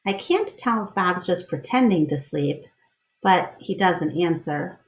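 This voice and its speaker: A woman narrating a children's book aloud as a storyteller, in an expressive read-aloud style. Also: lowish voice, a little slow, roomy sound.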